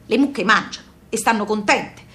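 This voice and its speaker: A woman's voice: mid-range voice, normal speed, bright, forceful tone, close to an everyday voice. A woman playing a stubborn old southern Italian mother in a radio play, telling memories with emphatic repetition and dialect. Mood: reflective, reminiscing. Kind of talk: live radio theatre acting. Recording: live music behind the voice.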